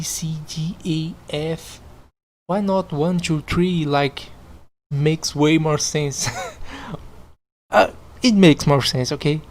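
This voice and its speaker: Slow-talking host. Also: medium pitch, darkish tone. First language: Portuguese. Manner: chatty asides with frequent chuckles and mild swearing. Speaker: podcast host. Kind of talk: casual reading of online stories.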